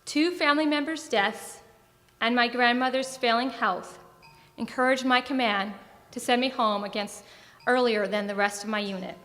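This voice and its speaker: With long hall reverb around her, a young American woman reads from the stage. Her voice is fairly high, and her delivery is measured and serious. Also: fairly bright tone, faint music behind. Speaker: military veteran performer. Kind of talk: reading a personal essay on stage. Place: theatre stage in an arts center.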